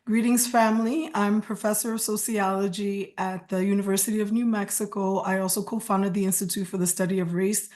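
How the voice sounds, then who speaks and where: A woman's voice: mid-range voice, fairly even intonation, fairly quick, hardly any pauses. A woman moderating an online panel webinar, speaking in a heartfelt way and slipping in Spanish greetings. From United States. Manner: warm, heartfelt, mixes in Spanish phrases. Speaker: moderator and sociology professor.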